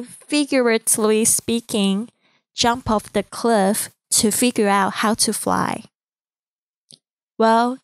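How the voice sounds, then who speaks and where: A woman in her thirties from Taiwan with a Mandarin accent, a podcast host reading a calm, earnest, inspirational script. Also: mid-range voice, dry recording. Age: 30s.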